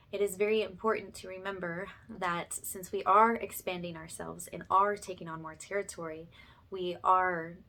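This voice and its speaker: A woman's voice: lowish voice, pushed and bright. A woman hosting a spiritual ASMR channel, speaking to her viewers in an earnest, affectionate and reassuring way.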